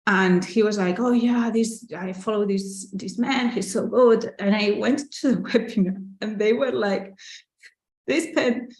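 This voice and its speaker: A woman's voice: medium pitch, unhurried, a little mellow. Catalan woman chatting in an echoey room. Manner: chatty and candid, with frequent "like" and "you know", small laughs. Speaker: artist and business owner hosting a live Q&A. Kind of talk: casual live-stream Q&A, reading viewer questions.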